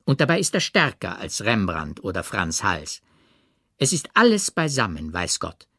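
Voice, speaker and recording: A man's voice: lowish voice, wildly swooping pitch, normal speed, bright, forceful tone, dry recording, clean studio sound, exaggerated voice. A man voicing an exuberant, boastful painter in an audiobook, with emphatic, theatrical delivery. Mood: emphatic admiration. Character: exuberant, showy male painter holding forth at dinner. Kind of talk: audiobook character reading.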